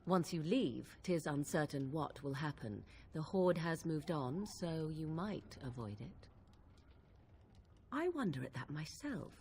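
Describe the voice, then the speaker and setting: A woman's voice: low voice, slightly rough, heavily acted. An actress playing a young witch of the wilds in a video game, aloof and dry-witted, with archly formal, old-fashioned phrasing. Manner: archaic, arch, coolly sardonic phrasing. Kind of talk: video game cutscene acting.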